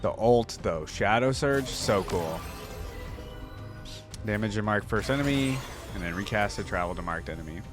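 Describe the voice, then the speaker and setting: Reaction host: young male, slightly low pitch, laid-back and appreciative casual commentary, soft music in the background. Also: lively intonation, normal speed.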